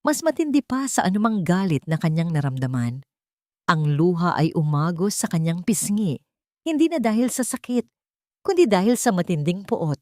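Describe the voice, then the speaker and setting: A woman narrates a romance drama with emotive, dramatic delivery. Her voice sits fairly low. The recording is close and dry. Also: very animated, moderate pace, a little mellow, no echo.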